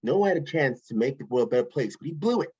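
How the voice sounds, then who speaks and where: A man's voice: medium pitch, animated, fast, a little mellow, breathy edge, lo-fi sound. A man reading a smug, playful male billionaire villain in a breezy, sing-song way.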